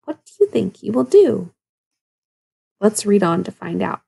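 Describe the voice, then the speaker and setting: A woman's voice: mid-range voice, very animated, measured pace, creaky, dark tone, breathy edge. A woman speaking as a primary teacher, reading a children's story expressively and putting questions to young pupils. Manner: instructive, questioning asides to children. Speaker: second-grade teacher.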